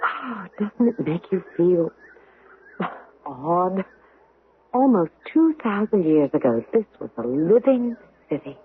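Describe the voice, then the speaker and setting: A breezy female companion in an old radio play. She is coaxing and insistent, with pitch that rises and falls freely. The sound is thin and tinny over audible noise. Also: very animated, takes it slowly, long pauses. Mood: coaxing, eager. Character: breezy, insistent woman, friend or sister. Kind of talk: 1970s radio drama acting.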